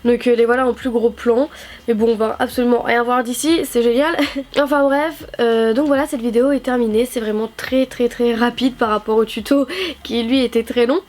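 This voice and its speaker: A young woman in her late teens, sounding stuffy and nasal from a cold, reviews craft pens. Her voice sits high, and her talk rambles with fillers and doubled words. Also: fairly even intonation, normal speed, darkish tone. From France. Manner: chatty, many fillers and repeats. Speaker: craft YouTuber. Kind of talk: casual product review vlog.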